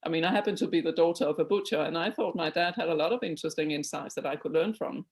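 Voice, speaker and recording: A woman's voice: sits low, fast. A middle-aged woman from Denmark, a board chair answering webinar questions over a video call in an earnest, emphatic way with frequent restarts. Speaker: guest speaker, board chair.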